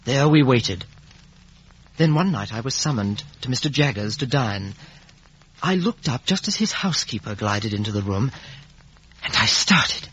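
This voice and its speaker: An actor playing an earnest, lovelorn young gentleman in a 1950s radio drama. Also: mid-range voice, expressive, unhurried, a little hiss or hum. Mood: grave narration. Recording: muffled, dull vintage radio recording.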